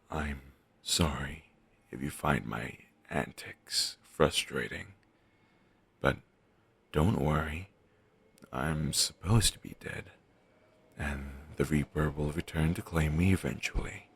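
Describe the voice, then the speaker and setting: A man playing a morose, self-deprecating zombie best friend in an audio roleplay, speaking haltingly and deadpan in a gravelly, husky voice. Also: a bass voice, no room sound, exaggerated voice. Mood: glum, resigned. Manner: deadpan, halting, listless delivery with long pauses. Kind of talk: audio roleplay, intimate scripted monologue addressed to listener.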